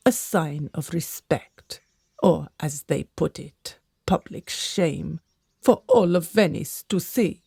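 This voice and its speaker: A woman's voice: sits low, animated, takes it slowly, gravelly, warm tone, breathy edge, no room sound, studio quality, full character voice. A woman playing an older gossiping servant in a radio drama, secretive, theatrical and conspiratorial.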